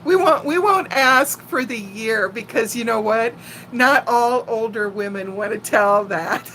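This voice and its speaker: Higher-voiced, unhurried woman in her sixties. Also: a little hiss or hum, poor audio. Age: 60s. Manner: animated, playful storyteller who laughs easily and often. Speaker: live stream host chatting with viewers. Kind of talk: casual chat and personal storytelling.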